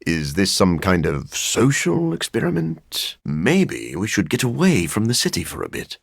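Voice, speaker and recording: A man voicing a brooding, intellectual vampire scientist in a video game, formal and articulate with dry wit and a gravelly, husky voice. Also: fairly low pitch, huge pitch swings, measured pace, no echo, exaggerated voice. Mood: dry, thoughtful. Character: brooding, intellectual adult male vampire scientist, dryly witty. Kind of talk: video game character dialogue.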